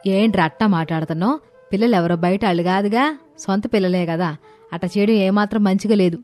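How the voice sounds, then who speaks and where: A woman's voice: mid-range voice, quick pace, a little mellow, soft background music, lightly acted. A woman playing a blunt, scolding, haughty older mother-in-law in a cartoon family story. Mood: scolding, resentful.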